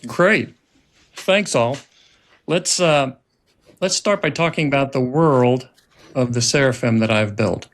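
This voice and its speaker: A man with a mid-range voice hosts a podcast. He reads a prepared introduction in a formal, measured way. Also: medium pitch, creaky. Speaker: host, writer-director.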